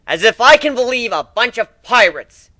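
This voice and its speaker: A man's voice: extremely high pitch, big pitch swings, normal speed, pushed and bright, dry recording, pitched above the performer's own voice, exaggerated voice. A man voicing a nervous but boastful young male pony guard who gets indignant and stammers under pressure, in a fantasy story reading. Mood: defiant, mocking.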